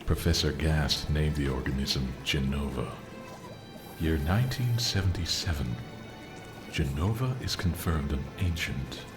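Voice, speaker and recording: An actor playing a brooding superhuman warrior in a video game, speaking in a grave, measured, ominous way. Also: male voice, rumbling low.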